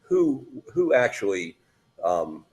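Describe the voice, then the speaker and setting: A man questioning a witness in court, halting and folksy with many restarts. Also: lowish voice, very animated, darkish tone. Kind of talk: courtroom examination.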